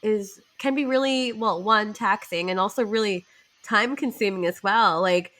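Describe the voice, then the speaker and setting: A woman's voice: medium pitch, normal speed, a bit bright. A woman hosting a podcast in a casual, bubbly, giggly way, her nose stuffed up from a cold. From Canada. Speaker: podcast host.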